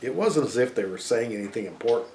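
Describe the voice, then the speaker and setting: A middle-aged man reading a story aloud to his family, giving an expressive storybook narration. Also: medium pitch, fast.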